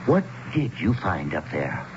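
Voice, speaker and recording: Animated, folksy old townsman. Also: low voice, a little slow. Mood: hearty, grateful. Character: grateful, folksy aged small-town man, heartily welcoming. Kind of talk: 1970s radio drama acting. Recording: hissy, dull 1970s radio broadcast.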